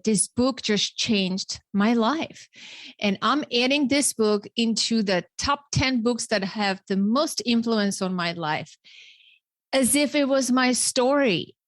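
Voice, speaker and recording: A woman's voice: fairly high pitch, no room sound. Armenian-accented woman hosting, close dry sound. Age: 40s. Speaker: podcast host. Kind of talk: podcast introduction and interview talk.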